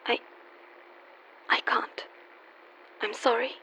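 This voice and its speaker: A woman's voice: takes it slowly, bright, forceful tone. A woman playing a wry, world-weary young call girl in a radio play, teasing yet guarded and wistful. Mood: wistful, sad. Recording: dull lo-fi audio with faint hiss.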